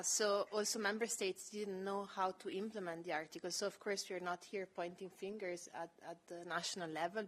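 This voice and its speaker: Woman, low voice, panel speaker, explanatory, hesitant fillers. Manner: measured, explanatory, frequent fillers. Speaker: panel speaker presenting project research. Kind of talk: conference panel presentation.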